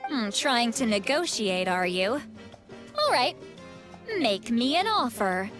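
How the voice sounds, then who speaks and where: High-voiced, fiery scolding granny leader. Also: female voice, big pitch swings, slow, fairly bright tone, heavily acted. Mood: teasing, stern. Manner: bossy, teasing, blunt. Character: fiery, scolding woman leader, a tough granny with hidden fondness. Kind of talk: video game voice acting. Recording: music playing behind the voice.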